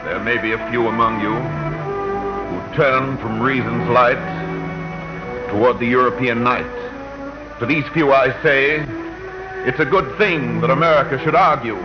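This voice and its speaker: Male actor as solemn 1940s radio-drama orator, poetic and declamatory, mid-pitched, old lo-fi sound with music behind. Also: a little slow, pauses often, lightly acted. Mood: solemn, warning.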